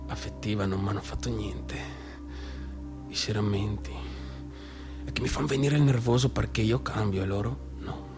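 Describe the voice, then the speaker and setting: Disturbed young small-town guy, northeast italian accent, radio play monologue, stammery and repeating himself, manic and brooding, music really loud behind. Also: on the low side. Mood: anxious, obsessive. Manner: halting, obsessively repetitive, colloquial. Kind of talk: theatrical monologue for radio theatre.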